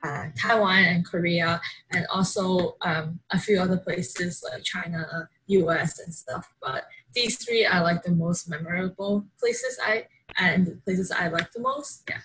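A woman's voice: lowish voice, steady tone, a little slow, fairly bright tone, low-quality recording. Young woman, chatty, upbeat self-intro over a video call, faint room hiss. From Hong Kong. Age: young adult. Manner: chatty, upbeat, many likes and ums. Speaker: exchange student introducing herself.

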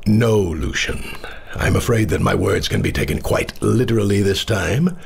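An actor playing an aging, courteous captain in a fantasy audio drama, with a gravelly, husky voice and a measured, formal manner. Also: male voice, fairly low pitch, slight background noise. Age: older. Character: aging, courteous captain and swordsman, weary but dignified.

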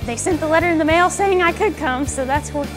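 A young woman with a high-pitched voice gives an interview as a graduate. There is clear music in the background. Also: a little fast. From Mississippi, USA. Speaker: law school graduate. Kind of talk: interview testimonial. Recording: music behind the voice.

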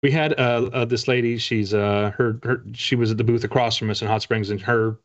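A middle-aged man chatting casually as an interview guest, telling stories in a halting, rambling, humorous way. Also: medium pitch, fairly even intonation, muffled recording. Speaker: interview guest, author and illustrator. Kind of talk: casual chat on a video interview. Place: indoor room lined with bookshelves.

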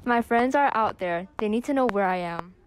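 A woman's voice: medium pitch, normal speed, natural voice. A young teenage girl playing a tough, blunt zombie survivor in an audio drama. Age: young teen. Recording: amateur recording with faint noise.

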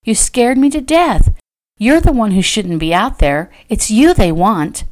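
A woman's voice: medium pitch, lively intonation, moderate pace, a little mellow, natural voice. A woman narrator voicing a spirited, anxious young heroine in a Western romance, outspoken and emphatic. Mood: alarmed, bossy.

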